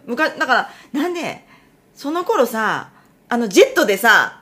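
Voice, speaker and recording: A woman's voice: a little high, normal speed. A woman with a central Japanese regional dialect chatting casually, telling her story and acting out bits of quoted talk. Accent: central Japanese regional dialect (Tokai-Kansai). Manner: animated storyteller who acts out quoted remarks. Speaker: wife telling about her side job.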